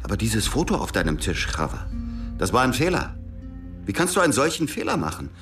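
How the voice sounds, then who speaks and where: A weary, suspicious ex-agent with a very low male voice. He speaks with brooding restraint, as the narrator and lead of a radio drama. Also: sing-song, brisk, over music. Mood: accusing, agitated. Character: exhausted, suspicious former agent telling his story.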